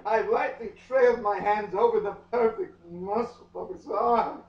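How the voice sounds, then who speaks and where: A man giving an overwrought, melodramatic comic reading of a novel with lingering dramatic pauses. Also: high-pitched. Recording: echoey room, far from mic, muffled.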